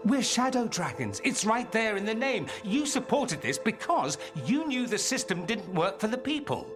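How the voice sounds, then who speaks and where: A suave, theatrical fantasy mage, very animated. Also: male voice, sits high, moderate pace, music in the background. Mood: insistent. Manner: theatrical, wry, eloquent. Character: suave, ambitious male fantasy mage and scheming rebel. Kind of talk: dramatic video game dialogue acting.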